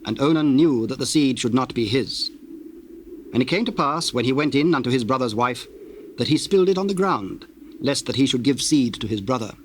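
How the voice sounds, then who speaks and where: A man's voice: mid-range voice, animated, brisk. A man narrating a dramatized scripture reading in a solemn, storytelling style. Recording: hissy old cassette tape, birdsong behind.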